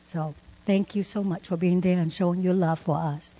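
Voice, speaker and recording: A middle-aged woman speaking earnestly and haltingly at church, giving thanks to the congregation. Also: fairly low pitch, fairly even intonation, background noise. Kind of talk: heartfelt church remarks. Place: church sanctuary. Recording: long hall reverb.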